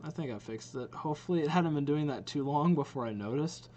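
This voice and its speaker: A man's voice: a little high, fairly quick, warm tone, dull audio, slight background noise. A young adult man chatting casually as a reaction video host, slangy and thinking aloud. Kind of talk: casual chat, reacting to game trailers.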